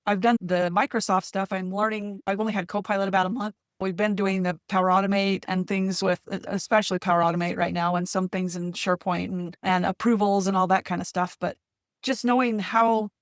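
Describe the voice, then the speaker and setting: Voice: mid-range voice, flat delivery, brisk, dry recording, studio quality. A webinar attendee asking a long, rambling question and searching for words.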